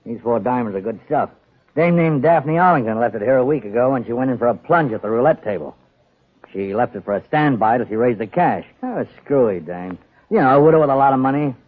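Actor as grumbling old-time gangster boss, tinny radio. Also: male voice, medium pitch, lively intonation, fairly quick, close to an everyday voice. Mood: grumbling, slangy. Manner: slangy, grumbling tough-guy talk. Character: weary, grumbling aging male gangster gambling boss. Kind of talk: 1940s radio acting. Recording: tinny band-limited 1940s radio broadcast.

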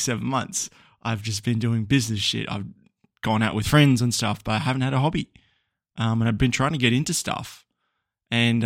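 A man's voice: lowish voice, a bit bright, no echo, clean studio sound. A young Australian man hosting a casual solo podcast, rambling and chatty with lots of ums and small laughs. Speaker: podcast host.

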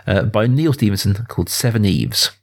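A man in his thirties with a low voice and plenty of vocal fry. He talks casually as a podcast host, feeling his way through his points with small qualifications. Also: animated, brisk. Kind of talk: casual film discussion.

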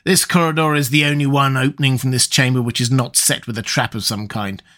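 A man's voice: mid-range voice, steady tone, fairly quick, sharp tone, close to an everyday voice. A man voicing a scheming middle-aged priest who is gripped by fear, with a raised, theatrical, urgent delivery. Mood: frightened.

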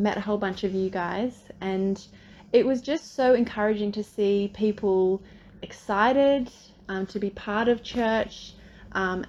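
A woman speaks gently and a little hesitantly, with frequent ums, at a middle pitch. The recording is slightly dull, with a faint hiss behind her. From Australia, grew up in Pakistan. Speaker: pastor's wife. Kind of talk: casual video introduction.

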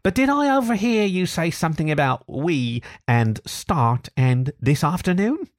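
A man's voice: fairly high pitch, sing-song, a little slow, dry recording, clean studio sound, pitched above the performer's own voice. A man voicing a stubborn, sceptical male water rat in a storybook, speaking in a stiff, dogged manner. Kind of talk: storybook character acting.

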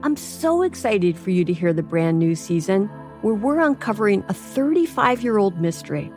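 A woman's voice: mid-range voice, very animated, moderate pace, a little mellow, faint music behind. A woman host reading a podcast promo in an upbeat, promotional way. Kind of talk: scripted podcast ad read.

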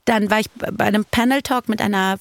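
A woman in her thirties, a comedian guest, telling stories in an animated, quick way and mixing in English words. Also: medium pitch, creaky, no room sound. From Germany. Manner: animated, rapid storytelling with restarts and English words mixed in. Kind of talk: casual conversation.

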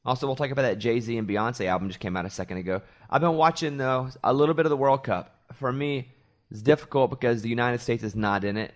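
A man's voice: medium pitch, fast. Chatty rambling man hosting a show. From Arkansas. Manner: rambling, chatty storytelling with self-deprecating asides. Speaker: radio morning show host. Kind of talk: casual podcast chat.

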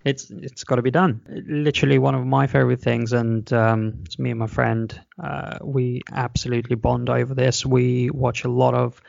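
A man from Russia chats eagerly as a guest on a podcast, talking over a video call. His voice is rough and gravelly with heavy fry. The call audio is dry and a bit muffled. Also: mid-range voice, little pitch movement, moderate pace, few pauses, darkish tone, no echo. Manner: chatty, enthusiastic, explains at length. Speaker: podcast guest, travel blogger. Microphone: video call microphone.

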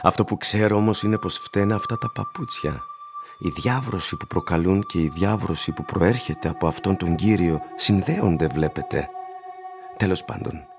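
An actor playing an ageing, plain-spoken shoe shiner in a radio play, courteous and gravely cautioning, with a husky, gravelly voice. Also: male voice, low voice, music in the background. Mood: earnest urging. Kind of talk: radio drama acting.

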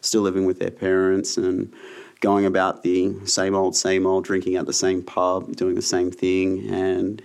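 Australian male guest, 30s, low rough voice, reflective and unhurried. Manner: relaxed, reflective storytelling. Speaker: guest, yoga teacher and veteran. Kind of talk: casual interview.

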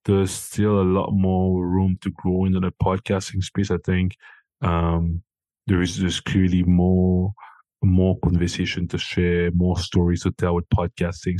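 A man from Cameroon speaks as a guest in a relaxed interview. His voice sits very low and moves little in pitch, and the recording is dry and close. He often backs up and restarts his sentences. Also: dry recording. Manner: hesitant, many restarts and fillers. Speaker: founder and CEO, guest. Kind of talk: casual interview talk.

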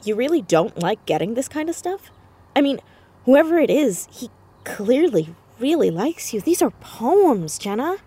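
Audio drama: earnest, romantic fourteen-year-old girl, expressive and headstrong, very lively pitch, slightly rough edge. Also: a little slow, exaggerated voice. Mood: eager, romantic. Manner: excitable, stammering when flustered, expressive. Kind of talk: naturalistic audio drama acting.

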